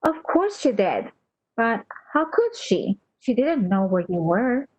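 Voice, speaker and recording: A woman acting in a radio play as a faithful nurse, gentle, deferential and reassuring, on a slightly dull recording. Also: on the high side, big pitch swings, slow, no echo, heavily acted. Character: faithful, soothing, deferential female nurse hiding bad news.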